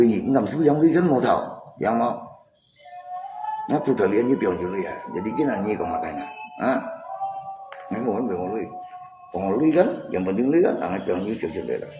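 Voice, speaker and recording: A man chatting casually and insistently on a live stream, often repeating himself. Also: lowish voice. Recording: echoey room, music mixed in. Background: music.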